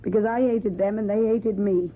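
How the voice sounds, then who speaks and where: An actress with a British accent playing a guarded young runaway woman in a radio drama, giving short, plain replies in a husky, breathy voice. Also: mid-range voice, fairly even intonation, moderate pace, close to an everyday voice. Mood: guarded, bitter. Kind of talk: old-time British radio drama acting. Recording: thin, muffled old radio recording.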